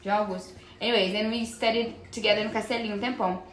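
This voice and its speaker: A young woman from Brazil with a Brazilian accent, a native Portuguese speaker, chatting casually as a podcast co-host in an animated, giggly way. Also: medium pitch, very fast. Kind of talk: casual chat between friends. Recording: roomy echo with faint background noise.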